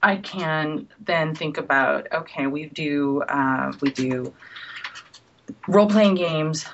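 A woman's voice: low voice, a bit bright. A middle-aged woman, a game company editor, answering interview questions in a chatty way, restarting phrases and laughing often. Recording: dull lo-fi recording with faint noise.

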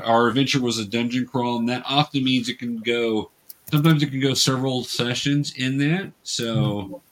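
Male host and game master, mid-pitched voice, casual and patient explainer with halting delivery. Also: fairly bright tone. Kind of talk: casual explaining while running a tabletop game. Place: remote video call.

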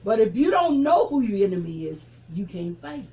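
Seventy-something reverend with preaching cadence. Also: male voice, sits high, very animated, brisk, highs cut off. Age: 70s. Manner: preaching cadence, rising to forceful emphasis. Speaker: reverend, civil rights veteran. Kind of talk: interview reminiscence.

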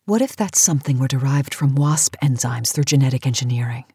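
An actress playing an imperious, driven, aging cosmetics tycoon in a campy audio drama, with a commanding, clipped manner. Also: female voice, extremely low pitch, fairly quick, mellow, husky, dry recording, studio quality, exaggerated voice. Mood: weary, determined.

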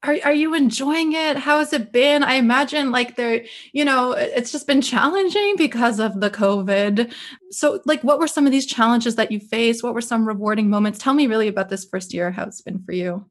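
A young woman from with a fairly high voice hosts a relaxed interview podcast. She talks with eager enthusiasm, often stumbling and restarting her phrases. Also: brisk. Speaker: podcast host. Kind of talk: casual interview chat.

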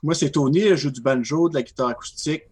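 A man's voice: mid-range voice, quick pace, a little mellow, a little hiss or hum. A man with a Quebec French accent, a band's banjo player, chatting with dry, self-mocking humor. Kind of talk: joking interview chat.

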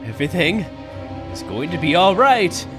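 An elderly park founder, played by a man, pleads in a high, swooping voice. He speaks slowly and anxiously. Music plays beneath him in this fan audio drama. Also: very animated. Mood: reassuring. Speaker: voice actor.

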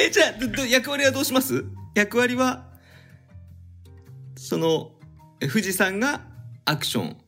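A man's voice: very high-pitched, animated, moderate pace, long pauses, sharp tone, faint music behind. A man co-hosting a casual podcast chat, eagerly organizing the segment. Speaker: podcast co-host.

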